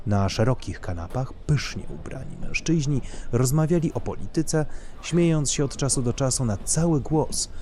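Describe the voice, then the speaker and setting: A man's voice: medium pitch, dark tone. A man reading scripted literary narration for an audio drama. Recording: clear background noise of urban ambience.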